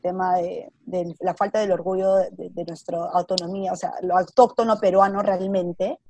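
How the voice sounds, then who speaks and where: A woman's voice: lowish voice, level tone, moderate pace, mellow, slight background noise. A woman from Peru with a Peruvian accent, chatting casually and telling personal stories, slipping in English words and acting out what people said. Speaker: interview guest.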